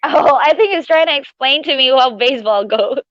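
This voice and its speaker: A woman's voice: high voice, a little fast, a bit bright, no room sound, lo-fi sound. A woman from Malaysia chatting casually and giggly as co-host of a live stream from her living room at home.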